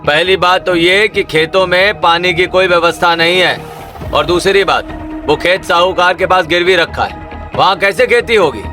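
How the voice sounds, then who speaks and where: A man's voice: high voice, moderate pace, music in the background, close to an everyday voice. A man voicing a surly, scornful drunkard of a village husband in a cartoon story. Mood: scornful, angry.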